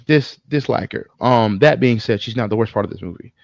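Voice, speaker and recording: A man co-hosting a casual podcast, speaking in an opinionated, emphatic way with swearing and halting pauses. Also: medium pitch, gravelly, a little mellow, dry recording, muffled recording. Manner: profane, emphatic, halting with pauses mid-thought. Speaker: podcast co-host. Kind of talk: casual film discussion, opinionated ranting.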